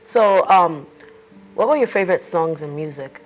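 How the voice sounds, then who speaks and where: A woman conducts an oral history interview, putting each question briefly and plainly. Her voice is slightly low, and faint music sits behind her. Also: lively intonation, moderate pace, low-quality recording.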